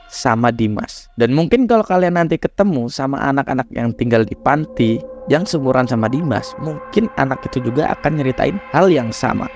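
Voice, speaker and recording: Young guy, kinda hoarse and nasal from a cold, laid-back horror storyteller, middle pitch. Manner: chatty, addresses viewers as guys. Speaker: horror storyteller, channel host. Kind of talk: casual horror story narration.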